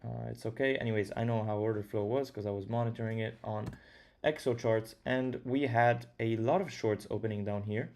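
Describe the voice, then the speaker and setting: A man's voice: medium pitch, steady tone, a little fast, gravelly, darkish tone. A young man with an Italian accent hosting a live trading stream from home, talking casually and thinking aloud with fillers. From Italy. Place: his home.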